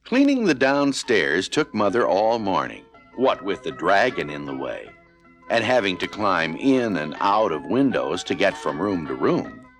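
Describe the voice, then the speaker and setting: Male children's storybook narrator, fairly low voice, highly animated intonation, music clearly behind. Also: measured pace. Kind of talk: scripted children's read-along narration.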